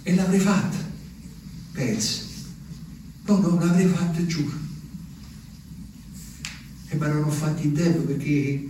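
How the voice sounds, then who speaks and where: An actor playing a naive, lonely, bewildered country wanderer in a theatrical radio monologue, childlike, rambling and repetitive. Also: male voice, on the high side, takes it slowly, long pauses, warm tone. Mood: hushed, earnest. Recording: very echoey, distant, noisy ambience.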